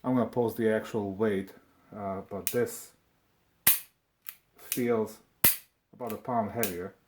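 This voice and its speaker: A man from Slovakia with a Slovak accent presents a casual, unscripted review, speaking haltingly and often repeating his words. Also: on the low side, fairly even intonation, noisy background. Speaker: video presenter reviewing firearms.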